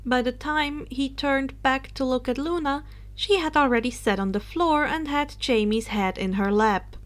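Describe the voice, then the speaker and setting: A woman's voice: high-pitched, moderate pace. A woman reading a story aloud as a narrator, now and then stumbling over a word. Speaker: audiobook reader of fan fiction.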